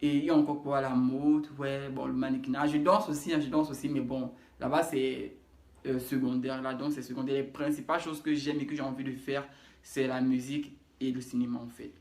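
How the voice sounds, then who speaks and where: A young man with a Cameroonian French accent chats casually to camera, introducing himself. He rambles, repeats phrases and corrects himself as he goes. His voice sits at an ordinary pitch and rings in a roomy, echoey space. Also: level tone, brisk, a little mellow. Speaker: YouTuber. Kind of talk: casual self-introduction vlog. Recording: echoey room.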